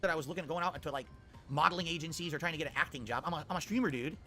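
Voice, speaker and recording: Fast, bright-voiced older man, indignant. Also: soft background music. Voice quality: high-pitched voice. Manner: defensive, pedantic, indignant. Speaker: streamer. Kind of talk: commentary in a played video.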